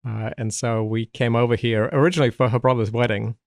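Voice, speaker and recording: A man's voice: mid-range voice, fairly even intonation, fast talker, dry recording, studio quality. A middle-aged man with a mixed South London and American accent, speaking thoughtfully as a podcast guest, hesitant, with fillers and restarts. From England. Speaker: guest, Old Testament scholar. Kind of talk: relaxed podcast conversation.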